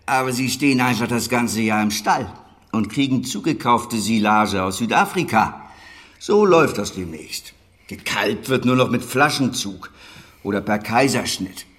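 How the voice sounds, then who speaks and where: An actor playing a gruff, hearty older dairy master in a radio play, talking in an earthy, colloquial, fatherly way to his former apprentice. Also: medium pitch, lively intonation, moderate pace, fairly bright tone, lightly acted. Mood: matter-of-fact, wry. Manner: earthy, colloquial, wry, chuckling asides. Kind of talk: naturalistic German radio drama acting.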